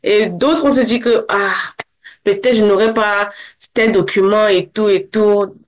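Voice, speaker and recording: A young woman from Cameroon, a guest in an interview, speaking casually and fast, with many restarts. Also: medium pitch, steady tone. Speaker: interview guest, former scholarship holder.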